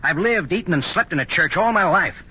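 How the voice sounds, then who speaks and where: An actor playing a stubborn, defiant young man in a 1950s radio drama, brisk and intense. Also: high-pitched, animated, bright, forceful tone, breathy edge, full character voice. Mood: bitter, defiant. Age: young adult. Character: bitter, defiant young minister's son. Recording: muffled old radio recording, faint noise.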